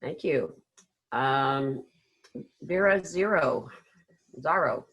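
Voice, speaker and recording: A woman's voice: very deep voice, animated, very slow, a bit bright, breathy edge, poor audio. A woman facilitating an informal online meeting, speaking as the host.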